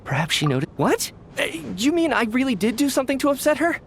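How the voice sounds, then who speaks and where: A man's voice: extremely high pitch, very animated, fast, slight background noise, heavily acted. A man voicing a friendly, fussy young official in a game, sociable and easily flustered. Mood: cheerful. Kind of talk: game voice acting.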